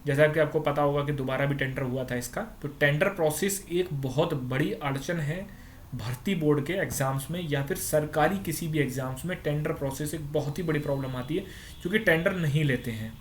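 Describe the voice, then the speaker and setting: A man speaks in a slightly high voice. He explains things informally, like a presenter updating students, and often checks that they follow. There is faint ambient noise in the recording. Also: fairly quick. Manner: conversational, repeats tag words to check understanding. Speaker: YouTube exam-update presenter.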